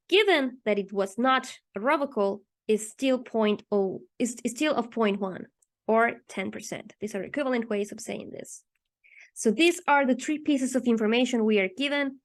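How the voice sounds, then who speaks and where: A woman teaching, methodically explaining a math problem step by step as she writes it out, with rhetorical questions along the way. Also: fairly high pitch. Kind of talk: explaining a math problem while writing on paper.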